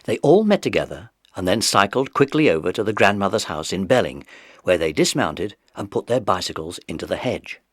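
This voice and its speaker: A man's voice: sits low, very animated, fairly quick, dry recording, clean studio sound. A man narrating a children's mystery story from a script. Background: music.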